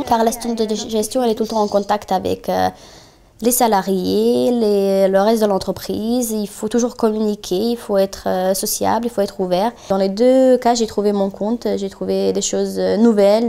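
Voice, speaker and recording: Soft-toned young woman from Bosnia. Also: mid-range voice, vocal fry. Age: 20s. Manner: earnest, with frequent pauses and fillers. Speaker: student intern. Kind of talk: documentary interview.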